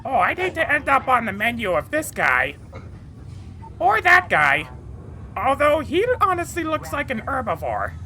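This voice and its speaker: A frantic male cartoon villain acted out by a woman, bumbling and theatrical. The voice is high, bright and strained, swinging widely as it rambles and exclaims. Faint ambient rumble sits behind it. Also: wildly swooping pitch, measured pace, exaggerated voice. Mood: musing, wary. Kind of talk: cartoon fan tribute monologue.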